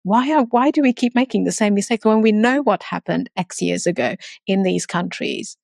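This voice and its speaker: A woman's voice: medium pitch, vocal fry, darkish tone, no echo, studio quality. A woman born in Romania, a guest entrepreneur speaking earnestly in a casual interview, often stopping and restarting her sentences. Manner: earnest, halting with many restarts.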